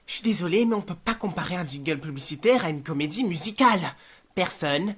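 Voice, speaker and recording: Woman as a low-voiced fussy male demon. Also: lively intonation, fairly quick, husky. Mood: exasperated. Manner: fussy, indignant. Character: prim, exasperated male demon employee. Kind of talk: cartoon fan dub.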